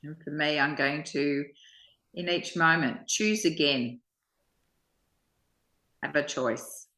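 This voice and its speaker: Female study partner, low-pitched and bright-edged, thinking aloud haltingly as she shares personal reflections.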